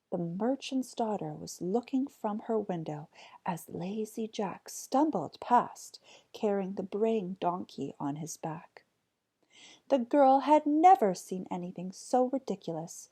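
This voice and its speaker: A woman narrates a folk tale at a slow, measured pace. Her voice is soft-edged and husky, with a lively, rising and falling melody. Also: mid-range voice. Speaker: storyteller.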